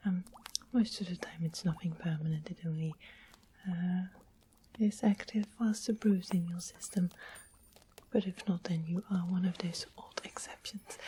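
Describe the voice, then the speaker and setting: A woman's voice: fairly low pitch, dark tone, a little hiss or hum, close to an everyday voice. A woman playing a sweetly sinister witch in an ASMR fantasy roleplay, whispering coyly and coaxingly with doting endearments. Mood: coaxing, mischievous.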